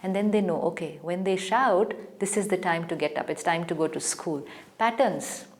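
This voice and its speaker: A woman with an Indian English accent, calmly explaining spiritual ideas in a gentle, measured way as the teacher on a TV talk show. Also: fairly low pitch. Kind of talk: calm spiritual guidance in a TV talk.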